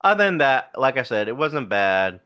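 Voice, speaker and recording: A man's voice: medium pitch, big pitch swings, brisk, sharp tone. A man with a Southern US accent co-hosting a casual movie review podcast, laid-back and chuckling as he talks. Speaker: podcast co-host.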